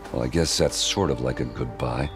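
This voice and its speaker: An actor playing a gruff, wounded warrior captain in a video game, halting and sardonic, with sighs. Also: male voice, a bass voice, music in the background. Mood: wry, weary. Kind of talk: video game dialogue acting.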